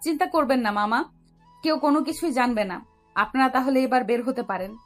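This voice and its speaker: A woman plays a grateful young runaway bride in a romantic audio drama. She is polite and a little anxious, her voice high above faint music. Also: fairly quick, natural voice. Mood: reassuring.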